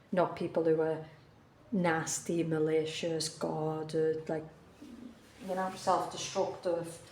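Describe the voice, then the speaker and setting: A woman's voice: on the low side, warm tone, echoey room, a little hiss or hum. A woman giving a casual tarot reading who is coming down with the flu and talks earnestly, repeating herself for emphasis. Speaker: tarot reader.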